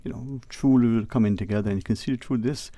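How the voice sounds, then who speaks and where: A man's voice: medium pitch, a little fast, dry recording. A man hosting a radio talk show, chatting casually in a rambling way with guests in the studio. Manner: rambling, restarts phrases, warm banter. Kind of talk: casual interview chat.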